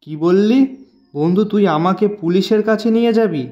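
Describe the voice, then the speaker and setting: A man voicing an honest, gentle shopkeeper who pleads with his friend in a moral-story cartoon. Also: high voice, normal speed, muffled recording, faint music behind, close to an everyday voice. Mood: sorrowful. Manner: earnest, pleading, lamenting.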